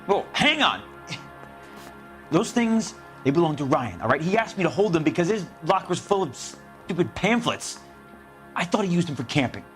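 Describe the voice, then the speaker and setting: A man's voice: a little high, sing-song, moderate pace, fairly bright tone, soft background music. A man playing a slangy, defensive working-class repairman under police questioning in a video game, evasive and indignant. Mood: alarmed. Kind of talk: dramatic video game interrogation acting.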